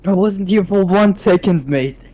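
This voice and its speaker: A woman's voice: medium pitch, a little slow, warm tone. A woman co-hosting a racing livestream, chatting casually with laid-back, teasing quips.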